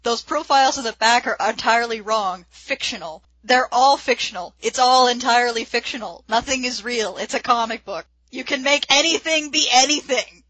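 Bright, forceful woman podcast co-host. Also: fairly high pitch, normal speed, few pauses, rough recording. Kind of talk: casual chat.

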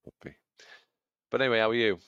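A man co-hosting a running podcast, his voice husky from a cold, chatting casually and laughing often at himself. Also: mid-range voice, steady tone, fast, no room sound, lo-fi sound. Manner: laughs often, self-mocking. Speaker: podcast co-host, aspiring runner. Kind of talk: casual chat. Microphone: studio microphone.

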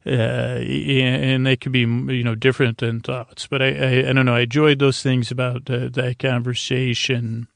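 A man with a creaky voice hosting a sleep podcast, talking in a meandering, halting, gently goofy and lighthearted way. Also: mid-range voice, flat delivery, no room sound. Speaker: podcast host. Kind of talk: rambling, informal sleep podcast monologue.

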